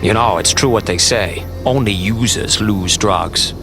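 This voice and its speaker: An actor playing a cocky, wisecracking, juvenile mercenary in a video game, with a sarcastic, hammy delivery. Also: male voice, lowish voice, measured pace, over music. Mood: wry. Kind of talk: animated video game voice acting.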